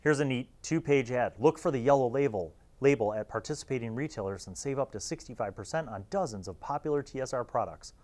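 A man, hosting a casual review in an informal, halting way and sometimes reading aloud. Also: medium pitch, a little mellow. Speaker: host reviewing old gaming magazines.

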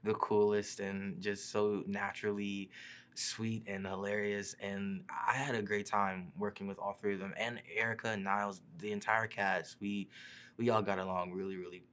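Young man with a lowish relaxed voice. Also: moderate pace, smooth. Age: young adult. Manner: relaxed, many fillers and restarts. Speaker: actor being interviewed. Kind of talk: casual interview answers. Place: studio seat before a printed backdrop.

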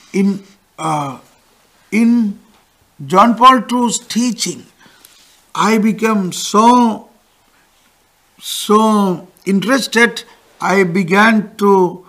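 Slow, high-voiced older man teaching. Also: sharp tone. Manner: gestures broadly while explaining, pauses for interpreter. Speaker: priest teaching catechism. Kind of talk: catechism lesson with interpreter. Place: studio with backdrop.

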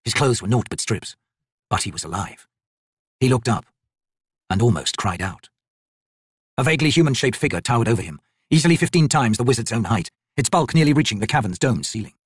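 A man's voice: mid-range voice, expressive, brisk, pauses often, slightly rough, no echo, studio quality. A man reading dramatic fantasy narration as an audiobook narrator.

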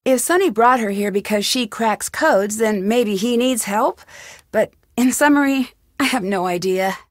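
An actress playing a young amateur detective in a video game, upbeat, caring and wry, with a friendly, lightly teasing delivery. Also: female voice, on the high side, unhurried, no echo, studio quality, close to an everyday voice. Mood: wry, curious. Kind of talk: scripted video game voice acting.

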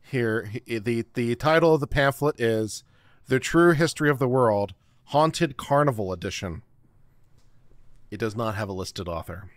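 A middle-aged man giving a theatrical, deliberate dramatic reading of a written text into a close microphone. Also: mid-range voice, expressive, dry recording. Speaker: online show host. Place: small home studio room. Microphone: close microphone on boom arm.

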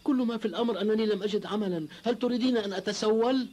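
A man's voice: sits high, racing, darkish tone, a little hiss or hum, close to an everyday voice. A man playing an idle, boastful young peasant husband in a cartoon dub, with a theatrical, exclamatory delivery. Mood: whining, pleading.

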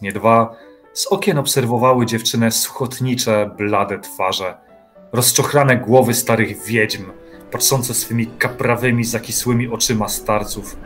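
A man narrating a dark literary radio play in a measured storytelling manner. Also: on the low side, natural voice.

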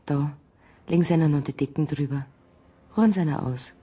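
An actress playing a caring, practical Bavarian policewoman in a radio drama, with a husky voice and a coaxing manner. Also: low-pitched, a little fast, dark tone. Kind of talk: naturalistic radio drama acting.

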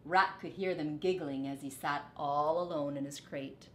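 A middle-aged woman reading a children's picture book aloud deliberately and expressively in a living room. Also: low-pitched, fairly even intonation, fairly bright tone, roomy sound, slight background noise. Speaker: storyteller, teacher. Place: living room, indoors on a couch.

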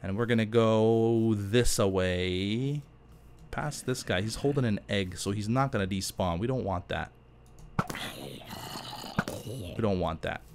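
A man's voice: lowish voice, measured pace, pauses often, darkish tone, a little hiss or hum. A man giving casual, chatty let's play commentary in an informal, self-deprecating and jokey way. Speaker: gaming YouTuber host.

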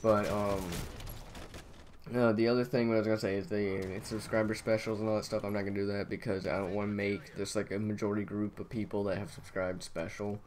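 A young man hosting a gaming channel, talking in a flat, monotone voice and rambling with lots of fillers over gameplay. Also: lowish voice, a little fast. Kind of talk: casual gameplay commentary.

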